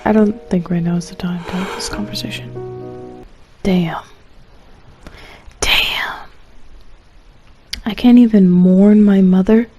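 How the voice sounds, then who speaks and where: A woman's voice: mid-range voice, steady tone, takes it slowly, long pauses, over music. A woman streaming a game, reacting casually with slangy, playful and dramatic asides.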